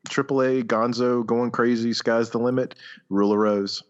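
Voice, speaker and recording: A man co-hosting a podcast, chatting casually about video games in a halting, thoughtful, rambling way over slightly dull audio. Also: mid-range voice, fairly even intonation. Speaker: podcast co-host.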